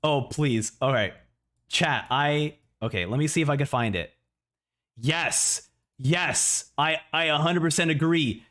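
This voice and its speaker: A man in a casual livestream reaction, talking excitably and often repeating words as he thinks out loud. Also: on the high side, unhurried. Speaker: streamer reacting to a video.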